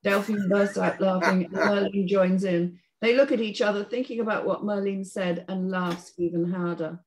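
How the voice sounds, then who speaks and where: A woman's voice: mid-range voice, fairly even intonation, moderate pace, noisy background. A woman reading scripted stage directions as narrator in a screenplay table read. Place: indoor room at home, on a video call.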